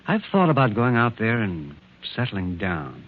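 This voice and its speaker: An eager, affectionate suitor in a 1940s radio play. His husky voice is low and swings freely in pitch. The recording is old and tinny. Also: very animated, measured pace, heavily acted. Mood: eager, hesitant. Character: eager, affectionate bachelor artist courting a woman. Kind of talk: 1940s radio drama acting.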